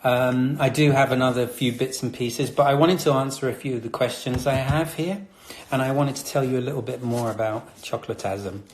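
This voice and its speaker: A man from Britain chats casually about his chocolates, chatty and a little nervous, with frequent ums. His voice sits in an ordinary middle pitch. The room around him gives a noticeable echo. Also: steady tone, brisk, slight background noise. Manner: chatty, nervous, many fillers. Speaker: chocolatier host. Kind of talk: casual live chat. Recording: roomy echo.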